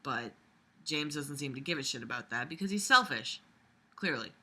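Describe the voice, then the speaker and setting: A woman's voice: rumbling low, lively intonation, normal speed, bright, forceful tone. A young adult woman reading fiction aloud with weary, exasperated, sarcastic commentary and plenty of swearing. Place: small bedroom, lying on a bed.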